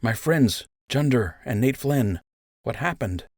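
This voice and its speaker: An earnest young buck rabbit soldier in a storybook, acted by a man. The voice sits above a low natural register and moves expressively, unsure of himself but dryly funny. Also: expressive, unhurried, dry recording. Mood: anxious, guilty. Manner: earnest, dry humour.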